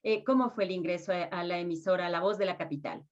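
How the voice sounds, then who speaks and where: A woman's voice: lowish voice, fast talker. A woman asking interview questions conversationally, rephrasing tentatively as she goes. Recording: dull, lo-fi sound.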